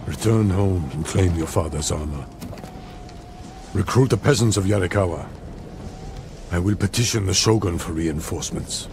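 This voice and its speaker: A man playing a stern, commanding older samurai lord in a game drama, speaking in a formal, measured, authoritative way. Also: sits low, noisy background. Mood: solemn, resolute. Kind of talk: cinematic game drama acting.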